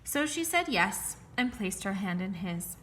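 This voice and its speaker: A woman reading a fairy tale aloud in a clear, expressive, measured way. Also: medium pitch, a little hiss or hum. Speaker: storyteller.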